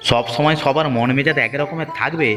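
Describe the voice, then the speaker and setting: A man's voice: fairly high pitch, fairly quick, a bit bright, lightly acted. A man voicing a stubborn, irritable village market vendor in a cartoon, curt and argumentative. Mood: irritated. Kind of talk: cartoon dialogue acting. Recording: long reverb, music behind.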